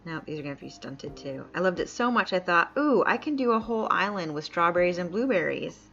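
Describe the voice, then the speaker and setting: A woman's voice: fairly low pitch, lively intonation, fast talker, vocal fry, fairly bright tone. A woman from upstate New York chatting casually while live-streaming a game, laughing often and reading chat aloud. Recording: dull lo-fi mic with faint music.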